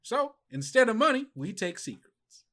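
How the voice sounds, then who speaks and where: A man's voice: very high-pitched, big pitch swings, moderate pace, a bit bright, pitched above the performer's own voice, full character voice. A man voicing a folksy little dog who works as a carnival vendor, talking in salesman patter for a tabletop game. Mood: cheery, persuasive. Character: tiny-armed elongating dog carnival vendor, folksy huckster.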